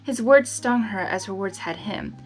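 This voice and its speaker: A woman reading a story aloud in an expressive manner, breaking into casual, exasperated reactions to the characters. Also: mid-range voice, normal speed, soft background music, poor audio. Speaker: fan fiction reader.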